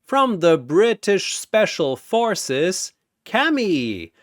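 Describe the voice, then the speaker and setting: A man voicing a grandiose ancient arena master who makes drawn-out showman's proclamations in a fantasy battle story. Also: high voice, big pitch swings, no room sound, clean studio sound, pitched above the performer's own voice, full character voice. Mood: booming announcement.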